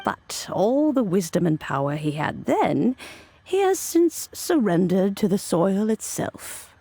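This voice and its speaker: A woman's voice: medium pitch, very animated, dark tone. An actress playing a serene, wise immortal adeptus in a video game, telling old legends in a formal, reverent way with music behind her. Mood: solemn. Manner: measured, formal, solemn storytelling delivery. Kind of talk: dramatic video game cutscene narration. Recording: background music under voice.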